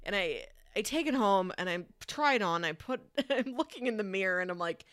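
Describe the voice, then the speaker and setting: A woman's voice: medium pitch, expressive, brisk, a bit bright, no room sound, studio quality. A woman co-hosting a podcast in casual, playful, conversational chat. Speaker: podcast co-host.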